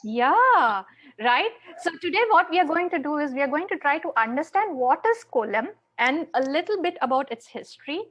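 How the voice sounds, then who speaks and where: A woman with a South Indian accent presenting an interactive online lesson, halting and often repeating words as she explains. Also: sits high, big pitch swings, a bit bright. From Chennai, South India. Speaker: teacher, online conference presenter. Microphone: video call.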